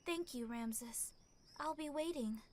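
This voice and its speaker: A woman acts a young captive noblewoman in an audio drama. Her voice is high, and her manner is polite and wistful, longing for home. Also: unhurried, slight background noise. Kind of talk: radio play acting, fan production.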